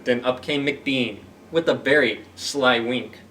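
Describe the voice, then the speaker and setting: A man reading a storybook aloud to children in an animated way, pausing to explain simply and ask questions. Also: mid-range voice, recorded from a distance, slight background noise. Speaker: library story time reader.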